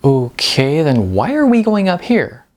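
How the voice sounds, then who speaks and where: A man voicing an excitable, inquisitive younger slacker in an audiobook, slangy and full of incredulous questions. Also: a little high, measured pace, voice pushed up. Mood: puzzled. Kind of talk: audiobook character dialogue.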